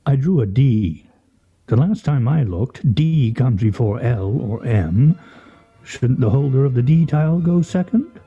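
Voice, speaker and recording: Pompous nitpicky husband in a radio comedy, swooping intonation, muffled sound. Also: male voice, mid-range voice, animated, measured pace, warm tone, slightly rough. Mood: sarcastic, argumentative. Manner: pompous, declamatory, fussily articulate. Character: pedantic, grumbling husband, theatrically wordy. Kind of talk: comedic radio play acting.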